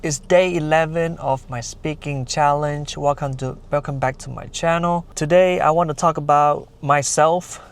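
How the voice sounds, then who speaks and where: A man's voice: fairly high pitch, fairly even intonation, normal speed, gravelly, hardly any pauses, smooth. Casual Malaysian-born man vlogging. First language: Chinese dialects. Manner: plain conversational, uses lots of "like" and "you know", nonnative grammar. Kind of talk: casual vlog talk to camera.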